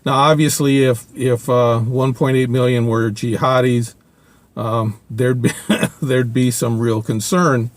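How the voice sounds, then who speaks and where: Older guy rambling his opinions to the camera, lots of uhs and restarts. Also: medium pitch. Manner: halting, many fillers and restarts. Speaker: video blogger. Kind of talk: casual opinion monologue.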